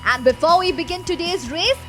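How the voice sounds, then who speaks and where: A woman with an Indian English accent co-presenting a fitness race with brisk, clear delivery. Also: extremely high pitch, fairly bright tone, faint music behind. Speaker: race commentator. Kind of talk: scripted sports commentary.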